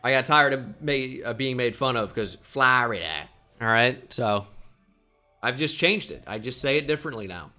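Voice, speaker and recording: A young man with a slight New Jersey accent gives chatty video game commentary. His tone is bright and forceful. He muses aloud and drops self-deprecating asides, with faint music playing behind him. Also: medium pitch, lively intonation, moderate pace. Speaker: gaming YouTuber.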